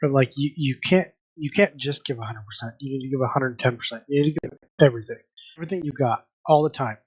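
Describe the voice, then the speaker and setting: A man's voice: medium pitch, fast talker, breathy edge. A man co-hosting a book club podcast, chatting casually and stressing his points by repeating them.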